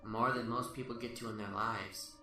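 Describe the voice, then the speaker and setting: A man's voice: on the low side, fairly even intonation, moderate pace. A man acting a smug, taunting serial killer who philosophizes without remorse in an amateur radio play. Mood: smug, boastful. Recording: roomy, distant-mic sound under loud music.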